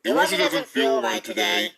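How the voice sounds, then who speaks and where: A man acting a dramatic, wronged cartoon character in an amateur production, with melodramatic shouted delivery. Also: extremely high pitch, normal speed, gravelly, a loud crowd. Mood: animated.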